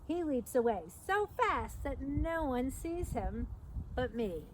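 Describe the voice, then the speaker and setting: A middle-aged woman with a high voice reads a picture book to small children. She tells it simply and breaks off for short explanations. The recording is made outdoors, with clearly audible noise around her. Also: very animated, takes it slowly. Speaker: storytime reader, parks staff. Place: outdoors at an open trailhead by a fence.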